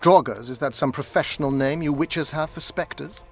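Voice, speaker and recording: An actor playing a condescending, scholarly court sorcerer in a video game, pompous, silky and mock-courteous. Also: male voice, on the high side, moderate pace, close to an everyday voice. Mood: sceptical. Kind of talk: video game dialogue acting.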